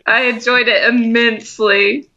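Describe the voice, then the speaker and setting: A woman's voice: a little high, level tone, a little slow, muffled recording, crowd noise. A young woman co-hosting a casual podcast, laid-back and giggly. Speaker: podcast co-host.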